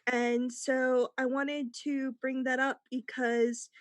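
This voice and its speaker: High-voiced woman panelist, dry recording. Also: little pitch movement, slow pace, no room sound. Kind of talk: casual panel discussion.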